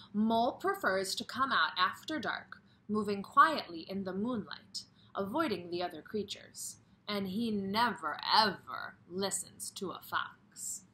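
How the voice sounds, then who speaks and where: Kindergarten teacher lady reading a storybook to kids, super expressive and slow, bright clear voice, some noise behind. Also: medium pitch. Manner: expressive, slow, addressing young children directly. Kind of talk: animated children's story read-aloud with questions to pupils.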